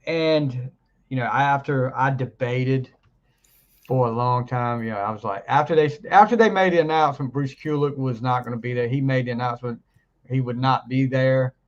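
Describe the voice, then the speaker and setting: Man, casual podcast host, deep after flu, rambling, dull lo-fi audio. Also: moderate pace. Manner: rambling, laughs often, trails off mid-sentence. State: recovering from flu, voice deeper than usual. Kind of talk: casual chat.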